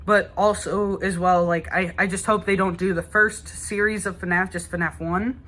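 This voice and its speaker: A man's voice: sits high, fairly even intonation, normal speed, pushed and bright. A young man, an eager fan, chatting informally and rambling through his ideas as a guest. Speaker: guest gamer. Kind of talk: casual chat.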